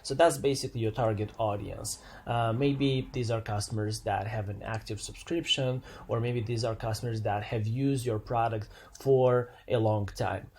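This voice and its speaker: A man presents an unscripted software walkthrough, explaining step by step in a slightly hesitant way and repeating phrases as he goes. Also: lowish voice, fairly even intonation, normal speed, few pauses, slight background noise.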